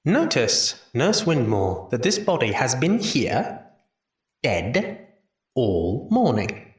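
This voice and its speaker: A lively man's voice, set back from the mic in a roomy space, playing a Victorian hospital doctor. He is genial and playful, with courteous, slightly formal phrasing in audio drama style. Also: mid-range voice, slow pace, recorded from a distance. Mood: keen, explanatory. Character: male Victorian hospital doctor, genial, teasing, enthusiastic anatomist.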